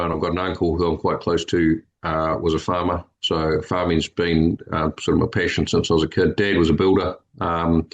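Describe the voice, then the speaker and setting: A man's voice: low-pitched, poor audio. A man in his fifties with a New Zealand accent, a farmer talking steadily and matter-of-factly as a podcast guest. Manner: steady, matter-of-fact, with frequent ums. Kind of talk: conversational interview.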